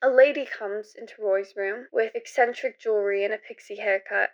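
A woman's voice: mid-range voice, steady tone, normal speed, highs cut off. A woman giving a scripted first-person narration of a story.

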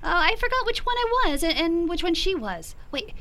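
A woman's voice: extremely high pitch, very animated, normal speed, a bit bright, full character voice. A woman playing a scatterbrained, giggly, forgetful butterfly with a dithering manner in children's audio theater. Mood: dithery, giggly.

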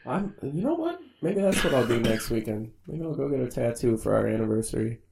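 A man's voice: mid-range voice, very animated, creaky, noisy background. A young man co-hosting a podcast, joking and asking questions with casual swearing. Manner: jokey, quick questions, swears freely. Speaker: podcast co-host. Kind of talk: casual chat.

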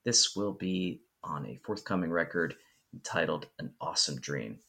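Deep-voiced man hosting a podcast. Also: creaky, sharp tone. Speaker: podcast host. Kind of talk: scripted introduction and interview.

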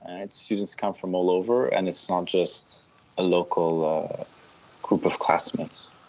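A man in his thirties from Switzerland speaks as an interview guest, his voice sitting a bit low. He tells his stories in a relaxed way, with fillers and laughter along the way. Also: unhurried, poor audio. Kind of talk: casual interview.

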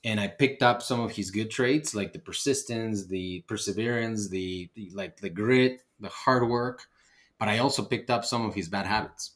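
A man's voice: on the low side, moderate pace, fairly bright tone. A middle-aged man from Ecuador, a native Spanish speaker, telling his personal story as a podcast guest. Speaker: podcast guest, real estate investor.

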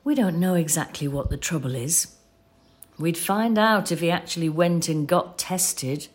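An actress playing a patient, practical elderly wife in an audio play, explaining things in a fond, conversational way. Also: female voice, on the low side, a little slow, natural voice. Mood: reflective. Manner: conversational, gently explaining, reassuring. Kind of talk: audio play acting with reflective narration.

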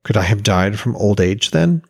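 Young god and troubled son, read by a man: steady, questioning, low creaky voice. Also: moderate pace, exaggerated voice. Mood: steady, uneasy.

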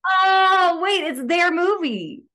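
A woman from Greece, an actor, chatting in a casual podcast interview, upbeat and quick to laugh. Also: extremely high pitch, animated, bright, forceful tone. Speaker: guest actor.

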